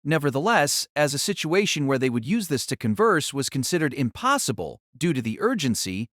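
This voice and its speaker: Male narrator for dramatic novel narration, slightly high register, close dry recording. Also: sing-song, fairly quick, a bit bright, no echo.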